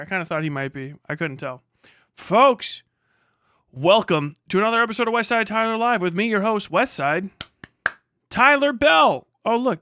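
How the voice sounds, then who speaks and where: A man with a high, clear voice delivers loose livestream commentary. He talks like a showman one moment and rambles irreverently with plenty of swearing the next. The sound is dry and close, with a crisp, forceful edge. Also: no room sound. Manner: profane, slangy, irreverent. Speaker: live stream host.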